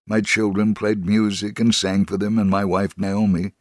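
Man reading a testimony slow and solemn, lowish voice, dry close mic. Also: flat delivery, dry recording. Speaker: dramatic reader.

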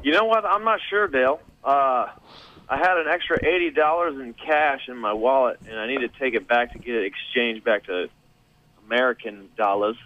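A man's voice: mid-range voice, moderate pace, narrow, tinny audio. A man co-hosting a radio show, teasing and sarcastic in casual banter.

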